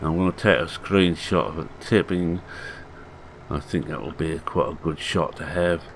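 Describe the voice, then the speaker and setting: A man in his sixties with a hoarse, croaky voice, giving casual, rambling commentary on a farming game with halting fillers. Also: a bass voice, slight background noise. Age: 60s. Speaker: farming game video maker.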